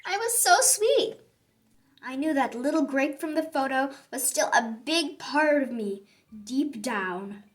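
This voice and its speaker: Woman voicing a high, dramatic little sour grape. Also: animated. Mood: calm, wistful. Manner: theatrical, exclaiming, drawn-out emphasis on words. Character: grudge-holding little sour grape, dramatic and repentant, telling its story. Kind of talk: children's picture book read-aloud.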